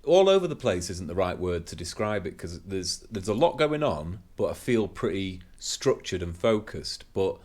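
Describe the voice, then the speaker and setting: A man's voice: low-pitched, big pitch swings, normal speed, hardly any pauses, darkish tone. A man, co-hosting a casual podcast chat from a home workshop, rambling with lots of fillers. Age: 30s. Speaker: podcast co-host.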